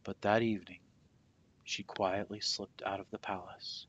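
A man reading a children's picture book aloud as storyteller, with deliberate, dramatic phrasing that softens at the ends of phrases. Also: fairly low pitch, level tone, husky, highs cut off.

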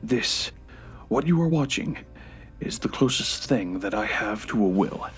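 A frail, dying grandfather of ninety-three, played by a male actor in an audio drama. He is defiant yet weary, picking his words slowly and deliberately, often sinking toward a breathy whisper. Music plays faintly behind him. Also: on the low side, expressive. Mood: solemn, weary. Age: 90s as played.

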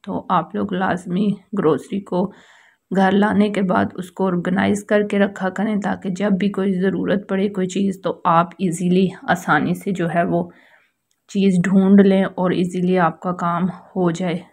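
A woman's voice: lowish voice, level tone, measured pace, creaky, darkish tone. A woman with a Pakistani accent giving a casual how-to voice-over as a home vlogger, explaining things politely and repetitively.

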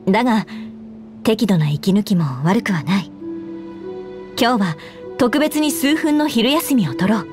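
A woman's voice: a little high, expressive. Earnest, formal lady knight commander. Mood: resolute, earnest. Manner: formal, measured, earnest speech. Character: earnest, dutiful young female knight commander. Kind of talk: Japanese game character voice lines. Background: background music.

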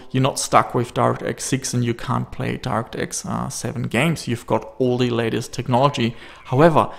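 A man's voice: medium pitch, creaky. A man giving an explanatory voice-over as a tech reviewer. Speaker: tech reviewer narrating his video.

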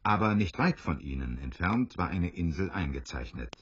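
A man's voice: low voice, sing-song, normal speed, a bit bright, slightly rough, muffled recording. A man narrating an adventure audio drama in a scripted storytelling style.

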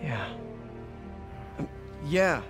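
Young American male game hero: jokey, awkward, higher-pitched, animated. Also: extremely slow, over music, full character voice. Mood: flustered. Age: young adult. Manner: joking, stammers when flustered. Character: quippy, awkward, good-hearted young male masked superhero. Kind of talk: cinematic video game acting.

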